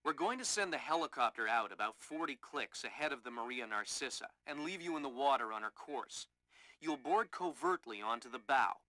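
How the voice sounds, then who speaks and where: A man's voice: medium pitch, expressive, a little fast, sharp tone, dry recording. A man playing a matter-of-fact operations coordinator briefing an agent in a video game. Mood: businesslike.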